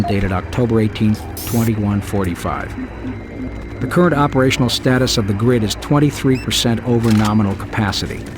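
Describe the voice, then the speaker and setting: An actor playing a strained, dutiful engineering manager dictating formal audio logs in a video game. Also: male voice, fairly low pitch, natural voice. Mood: formal, concerned. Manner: formal, businesslike log dictation.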